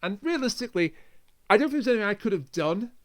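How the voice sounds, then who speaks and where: A man's voice: high-pitched, sing-song, quick pace. A man hosting a podcast in casual conversational talk. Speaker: podcast host.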